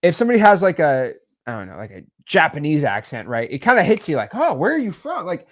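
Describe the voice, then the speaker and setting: A young man talks through business advice in a loose, rambling way, full of filler words. His voice sits a little high and climbs and drops often as he gets excited. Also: quick pace. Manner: rambling, many fillers, animated swings in loudness. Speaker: podcast co-host. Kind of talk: casual business advice chat.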